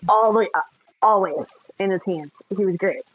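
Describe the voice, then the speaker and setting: A woman co-hosting a podcast in casual chat, excitable and quick to laugh and exclaim. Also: medium pitch, breathy edge, no echo, phone-quality sound. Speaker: podcast co-host.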